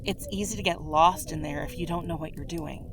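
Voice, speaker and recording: A woman's voice: sits low, fairly quick, creaky, noisy background. A woman playing a caring, frank innkeeper in a fantasy roleplay audio drama, soothing and conversational. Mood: grave, warning. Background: music behind the voice.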